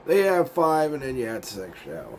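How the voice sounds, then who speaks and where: A man hosting his own video show, with a voice gone raspy and hoarse from a sinus cold, chatting in a loose, meandering way, losing his words and chuckling now and then. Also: medium pitch, very animated, normal speed, darkish tone, slight background noise. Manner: laid-back and meandering, loses his words, chuckles often. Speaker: YouTube show host. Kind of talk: casual rambling vlog chat.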